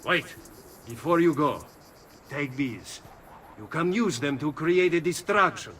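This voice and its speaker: An actor voicing a brisk, helpful soldier ally in a video game, speaking with urgency. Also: male voice, a little high, expressive, a bit bright, a little hiss or hum. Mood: urgent. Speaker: allied soldier.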